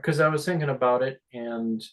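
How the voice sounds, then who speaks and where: A man speaking hesitantly and haltingly as he thinks aloud in a group conference call discussion. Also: medium pitch, low-quality recording. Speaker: meeting participant, radio monitoring volunteer.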